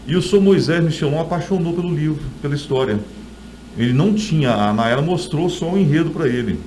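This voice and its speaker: A man's voice: fairly high pitch, animated, brisk, darkish tone. Middle-aged Brazilian man, noisy recording. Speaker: author being interviewed. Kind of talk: conversational interview storytelling.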